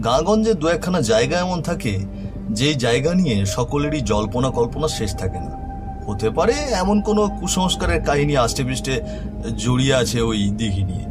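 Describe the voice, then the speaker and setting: Lively man narrating over music. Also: mid-range voice, brisk. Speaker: storyteller. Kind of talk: scripted horror story narration. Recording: music bed behind voice.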